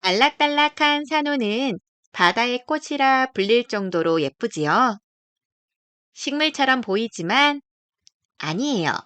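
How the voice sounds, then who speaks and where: A woman narrates a picture book for young children in a high, very animated voice. She explains things gently, as a storyteller would. Her tone is clean and smooth. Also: no echo.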